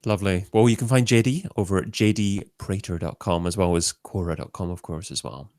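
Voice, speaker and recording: A man's voice: low-pitched, fairly quick, darkish tone, no room sound, clean studio sound. A man co-hosting a marketing podcast, upbeat and playful as he moves the show along. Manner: upbeat, playful sign-offs. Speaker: co-host.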